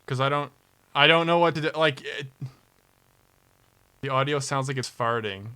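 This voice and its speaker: A man hosting a charity livestream chats casually into his desktop mic. He is flustered by his audio setup and laughs his way through the fixes. His voice is mid-pitched and bright. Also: normal speed, clean tone, poor audio.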